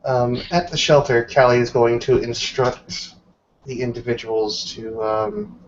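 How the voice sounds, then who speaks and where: A man's voice: mid-range voice, unhurried, creaky. Man narrating roleplay on noisy, muffled audio. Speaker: tabletop roleplaying participant. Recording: muffled lo-fi audio, background noise.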